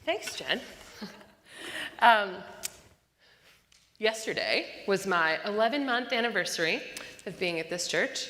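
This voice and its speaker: A woman pastor preaching a sermon with an unusually loud voice, talking fast and slipping in self-deprecating jokes. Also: medium pitch, expressive. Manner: self-deprecating joking asides; tends to speak fast. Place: church sanctuary. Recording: long church-hall reverb.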